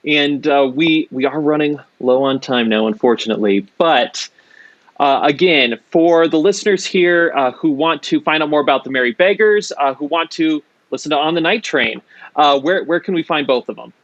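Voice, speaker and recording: A man hosting a podcast interview, effusive and halting as he speaks. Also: a little high, dry recording, highs cut off. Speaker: podcast host. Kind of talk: casual interview talk.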